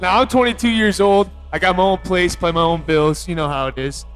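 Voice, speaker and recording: Young male co-host, twenties; slightly high voice; relaxed, joking storyteller over a soft music bed. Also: fairly quick, smooth. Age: 20s. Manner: laid-back storytelling, joking. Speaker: podcast co-host. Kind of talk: casual chat.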